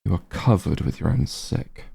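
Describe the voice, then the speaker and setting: A man playing a cold, possessive, centuries-old vampire lord in an ASMR roleplay, speaking in a hushed, gravelly, imperious and disdainful way. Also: low voice, expressive, heavily acted. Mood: disdainful, irritated. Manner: imperious, disdainful, measured and commanding. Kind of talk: ASMR roleplay, scripted dramatic monologue.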